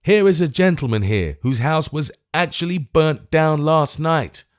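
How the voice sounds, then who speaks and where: A man voicing a choleric, frightened old gentleman who pleads in a shrill, frantic way. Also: animated, unhurried. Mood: pleading, indignant. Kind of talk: audiobook character voice.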